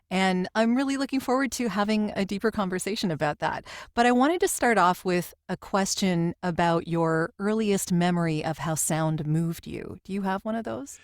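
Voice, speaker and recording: A woman's voice: on the low side, dry recording, clean studio sound. A woman speaking as a podcast host and interviewer. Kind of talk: scripted podcast introduction, then interview.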